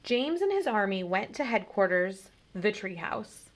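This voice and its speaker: A woman reading a children's story aloud in an expressive, deliberate way, like a teacher. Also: mid-range voice, a little hiss or hum.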